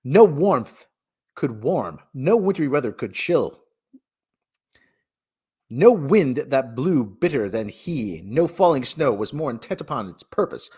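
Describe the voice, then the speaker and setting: Male narrator for a classic tale, theatrical and emphatic, mid-range voice that swings widely in pitch. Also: darkish tone, husky. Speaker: reader and streamer. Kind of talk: dramatic storybook narration.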